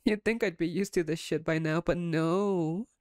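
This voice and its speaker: A woman's voice: on the low side, moderate pace, darkish tone, no echo, studio quality, lightly acted. A woman playing a playful, domineering female vampire captor in an intimate ASMR roleplay, teasing and sardonic. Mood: amused exasperation.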